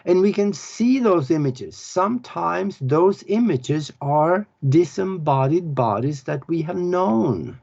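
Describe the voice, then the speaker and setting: A man from Norway. He explains spiritual ideas in an earnest, halting way, pausing often as he searches for words. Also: a little high, animated, gravelly, a little mellow. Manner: unhurried, earnest, halting with pauses. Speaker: author and spiritual teacher. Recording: dull lo-fi recording.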